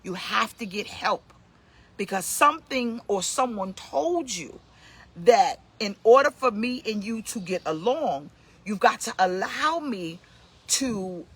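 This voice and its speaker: A woman's voice: medium pitch, lively intonation, unhurried, fairly bright tone, husky. A woman in her fifties hosting a casual motivational talk, emphatic and preachy, repeating her key points. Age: 50s. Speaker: host, pastor.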